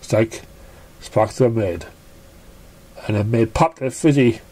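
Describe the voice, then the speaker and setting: A storyteller narrating fan fiction expressively, with a somewhat halting, stumbling delivery. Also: mid-range voice, dark tone, breathy edge.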